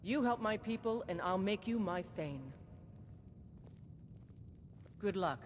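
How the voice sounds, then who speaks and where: Casting: a stern old war-horse ruler, formal, slightly high voice, long reverb. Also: male voice, animated. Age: older. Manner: measured, formal and commanding. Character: older male jarl, a former soldier, stern and dutiful. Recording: game audio with long reverb and faint rumble.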